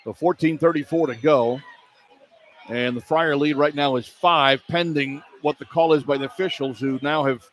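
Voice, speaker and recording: A man's voice: fairly high pitch, normal speed, fairly bright tone. A man calling live basketball play-by-play for radio, in clipped running descriptions that turn emphatic on big plays. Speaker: play-by-play announcer. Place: college basketball arena. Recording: arena noise behind live broadcast. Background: arena ambience.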